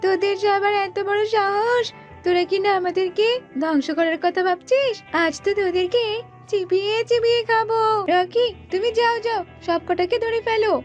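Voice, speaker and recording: A woman's voice: very high voice, normal speed, voice pushed up. A woman voicing a vengeful, menacing bird ghost in a cartoon, threatening her prey. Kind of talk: cartoon dubbing. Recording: muffled audio with background music.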